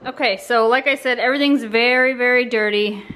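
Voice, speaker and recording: A young adult woman casually walking through a house under renovation on a handheld phone, talking in a relaxed, rambling way with restarts. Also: on the high side, a little fast, over music, low-quality recording. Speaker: vlogger giving a home tour. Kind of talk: casual walkthrough narration. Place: indoors, empty rooms of a house under renovation. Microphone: handheld phone camera.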